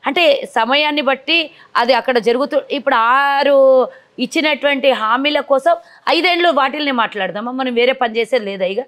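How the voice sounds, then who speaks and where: A forceful woman politician fires back rhetorical questions at a fast clip, her voice sitting on the higher side. She speaks outdoors into a lapel mic. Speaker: party politician. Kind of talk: combative interview answers.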